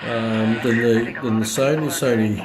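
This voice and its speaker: A man giving a casual, unscripted product demonstration as presenter, halting and searching for words. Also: mid-range voice, fairly even intonation, crowd noise, low-quality recording. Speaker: presenter of a hobbyist radio review video. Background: radio broadcast voices playing from the demonstrated receiver.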